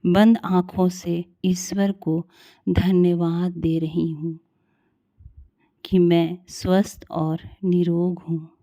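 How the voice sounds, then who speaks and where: A woman leading a guided meditation in a calm, soothing, unhurried way with long pauses. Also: on the low side, dry recording.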